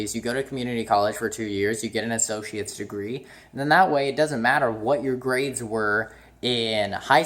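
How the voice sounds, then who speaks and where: A nineteen-year-old man from the United States giving a casual, rambling vlog update, full of ums and restarts. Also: medium pitch. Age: young adult. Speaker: YouTuber.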